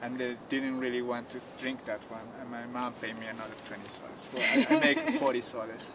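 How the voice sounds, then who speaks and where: A man's voice: mid-range voice, fairly quick, noisy background. Man telling a story outdoors. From Peru, Cusco countryside. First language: Quechua. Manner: relaxed, frequent "you know", simple phrasing. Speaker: tour guide. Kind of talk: casual storytelling interview. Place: outdoors at a mountain site. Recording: distant outdoor mic with loud ambience.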